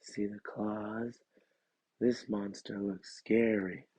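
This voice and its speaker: A man reading a children's story aloud in an expressive storytelling style. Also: fairly low pitch, slow, breathy edge, no room sound. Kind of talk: expressive storybook narration, first person.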